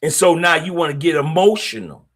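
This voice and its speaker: A man's voice: on the high side, fairly quick, creaky, a bit bright. A middle-aged man, hosting a podcast and speaking bluntly in a streetwise, opinionated way. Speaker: podcast host. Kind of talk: casual monologue podcast.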